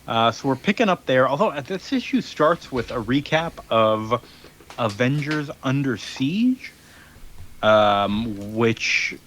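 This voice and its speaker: A man's voice: mid-range voice, expressive, slow, a bit bright, a little hiss or hum. A man hosting a podcast, recapping in casual chat and loosely acting out dialogue in an informal way. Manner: informal, acts out characters' dialogue in loose paraphrase. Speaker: podcast host.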